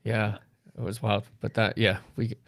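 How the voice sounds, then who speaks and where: Low-voiced man in his thirties, chatting casually. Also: little pitch movement, moderate pace, a bit bright, no room sound. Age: 30s. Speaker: comedy stream host. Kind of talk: casual gaming chat.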